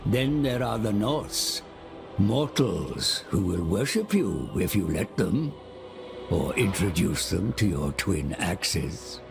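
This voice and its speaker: A creaky, scheming seer. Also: male voice, deep voice, full character voice. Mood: ominous, urging slaughter. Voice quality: creaky, gravelly. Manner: theatrical, deliberate, sly and flattering. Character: scheming, servile male seer guiding demonic warlords. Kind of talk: dramatic dark fantasy game narration. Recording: music under the voice. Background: dramatic music.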